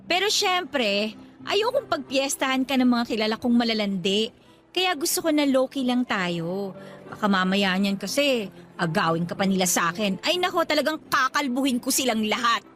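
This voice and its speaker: A woman's voice: fairly high pitch, lively intonation, normal speed, fairly bright tone, faint music behind. A woman with a Filipino accent playing a playful, clingy, jealous young girlfriend in a radio drama. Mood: playfully jealous. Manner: coy, teasing. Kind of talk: radio drama acting, conversational Taglish.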